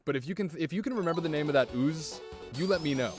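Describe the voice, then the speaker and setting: A man streaming a video game, chatting casually with viewers in an excitable, rambling way and swearing often. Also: on the high side, quick pace. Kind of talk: casual gameplay commentary. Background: music.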